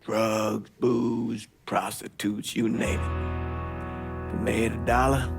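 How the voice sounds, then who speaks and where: An actor playing a weary, disillusioned old priest in a game, halting and heavy with regret. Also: male voice, lowish voice, little pitch movement, gravelly. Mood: sorrowful, regretful. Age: 60s. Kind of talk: documentary interview acting. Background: guitar music.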